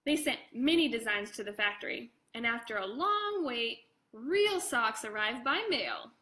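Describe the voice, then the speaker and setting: A woman reading her own picture book aloud to children in an expressive way, with casual chatty asides. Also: fairly high pitch, measured pace. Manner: expressive, addresses young listeners directly; casual, informal commentary between passages.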